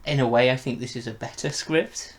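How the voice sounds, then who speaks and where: A man's voice: medium pitch, a little fast, slight background noise. A man chatting casually and enthusiastically as he reviews an audio drama on a podcast.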